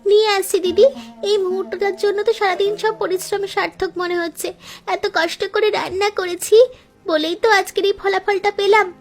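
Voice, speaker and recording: A woman's voice: extremely high pitch, fairly even intonation, a little fast. A woman playing an eager, sentimental younger sister and daughter-in-law in a cartoon story, speaking earnestly. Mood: eager, happy.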